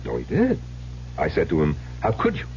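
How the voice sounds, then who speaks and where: A middle-aged, well-educated husband weighed down by the past in an old radio play. His deep voice is confessional and theatrical, swooping dramatically in pitch. The recording is muffled and slightly noisy. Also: wildly swooping pitch, fairly quick, vocal fry, darkish tone, exaggerated voice. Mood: grave, reminiscing.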